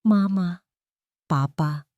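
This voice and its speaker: A woman's voice: on the low side, extremely slow, a little mellow, no echo, dull audio. A woman voicing a determined, devoted young businessman in a romance drama, speaking firmly and earnestly. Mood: serious. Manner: firm, earnest, declarative.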